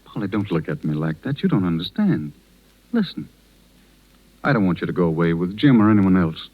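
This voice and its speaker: Gentle, reserved gentleman, very low voice, halting delivery, muffled vintage radio drama. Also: sing-song. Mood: tender, hesitant. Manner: hesitant, halting. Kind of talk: 1930s radio drama acting. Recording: muffled 1930s radio broadcast recording.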